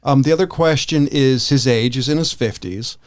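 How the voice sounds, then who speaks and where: A man co-hosting a podcast, talking through his opinions casually in a halting, rambling way with frequent restarts. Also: mid-range voice. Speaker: podcast co-host.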